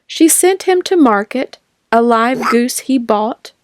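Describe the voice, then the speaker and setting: A woman reading nursery rhymes aloud from a picture book in a measured, sing-song manner. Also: fairly high pitch, animated, no echo, studio quality. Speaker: storybook reader.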